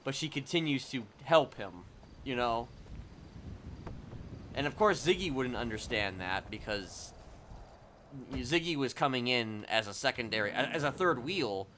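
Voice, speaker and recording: A man's voice: mid-range voice, lively intonation, a little slow, long pauses, fairly bright tone, a little hiss or hum. A man livestreaming casual commentary on a roleplay game, explaining things in a rambling, hedging way. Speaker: streamer.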